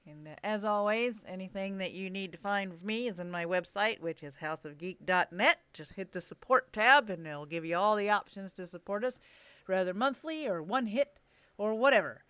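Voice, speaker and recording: A woman's voice: mid-range voice, animated, moderate pace, a bit bright, clear, resonant tone, dry recording, dull audio. A woman hosting in a casual chatty style, with wry, jokey asides. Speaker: podcast host. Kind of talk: casual chat.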